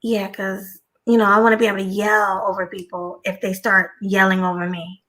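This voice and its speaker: A woman on an online panel with a soft voice, asking questions in an unsure way. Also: medium pitch, level tone, normal speed. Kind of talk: casual online panel chat.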